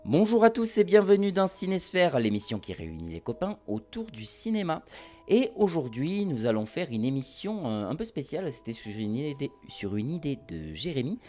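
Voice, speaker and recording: A man's voice: fairly high pitch, sing-song, normal speed, darkish tone, faint music behind. A man hosting a casual film podcast among friends, chatty and teasing. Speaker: podcast host.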